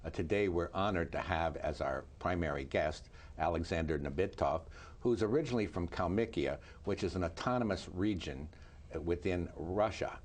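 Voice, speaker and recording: Male tv host, low voice, calm measured questions. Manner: measured, asks short confirming questions. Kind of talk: TV interview.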